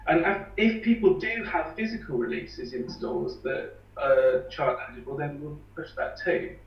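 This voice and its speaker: A man calmly explaining how he runs a campaign for independent bands, with an earnest manner. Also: fairly high pitch, normal speed, faint music behind. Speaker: campaign organiser. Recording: echoey, distant, dull lo-fi sound.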